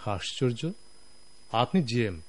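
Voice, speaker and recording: An actor playing a flattering, conniving office underling in a radio drama, speaking in an insinuating, goading way. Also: male voice, medium pitch, takes it slowly. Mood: goading, indignant.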